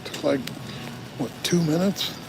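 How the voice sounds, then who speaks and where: A man with a raised, gravelly voice talks casually to his live-stream viewers while sitting in his car. He swears freely and keeps a loose, chatty manner. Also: a little high, measured pace, very noisy. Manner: swears often, chats with viewers. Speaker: live streamer doing delivery driving. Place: inside a car.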